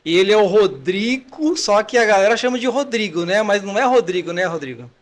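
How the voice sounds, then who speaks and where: A man hosts a faith podcast with a chatty, welcoming manner. His voice sits a bit high for a man. He rambles at times and stops to hunt for a word. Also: animated, a little fast. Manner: warm welcoming, chatty, searches for words. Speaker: podcast host. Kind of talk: podcast interview.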